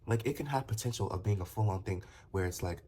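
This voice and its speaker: A man's voice: lowish voice, fast, dark tone, a little hiss or hum. A young man vlogging to camera in a casual, rambling way. He stammers and restarts often, leans on fillers, and turns heated and emphatic as he argues his point. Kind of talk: casual talking-to-camera commentary.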